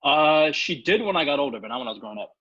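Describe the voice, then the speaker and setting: A man from Ethiopia, a guest on an interview podcast, talking quickly and casually. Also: fairly high pitch, lively intonation, bright, forceful tone, no room sound, dull audio. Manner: fast, fluent talker with many fillers and restarts.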